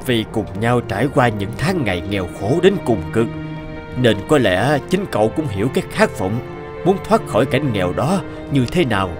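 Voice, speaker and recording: A man voicing a calm, wise older advisor in a cartoon, gently asking probing questions. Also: medium pitch, moderate pace, lightly acted. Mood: counseling. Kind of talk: cartoon voice acting. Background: background music.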